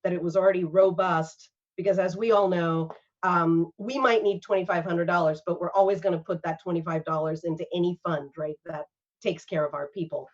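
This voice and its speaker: A woman's voice: fairly low pitch, fairly even intonation, fairly quick, a little mellow. A woman from Singapore, an arts fund organizer, speaking earnestly and from the heart in a panel conversation over a video call. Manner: earnest, heartfelt, with frequent fillers. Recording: dull lo-fi video call audio.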